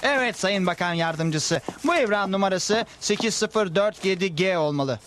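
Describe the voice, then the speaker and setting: High-pitched, fussy government clerk. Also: male voice, lively intonation, moderate pace, a bit bright, a little hiss or hum. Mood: servile. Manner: deferential, pedantic. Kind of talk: Turkish-dubbed cartoon acting.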